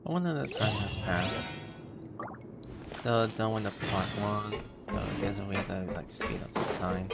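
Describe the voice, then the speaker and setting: A woman giving casual livestream commentary on a game in a halting, hesitant way with a gravelly voice. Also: rumbling low. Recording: loud game music behind the voice.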